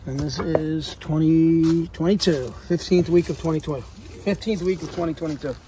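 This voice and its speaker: A man's voice: a little high, fairly even intonation, moderate pace, mellow, a little hiss or hum. Casual HVAC tech narrating his job. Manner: casual, swears, talks to viewers while working. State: wearing a mask. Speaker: HVAC technician. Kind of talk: casual ride-along vlog. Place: homes, boiler room, then a car.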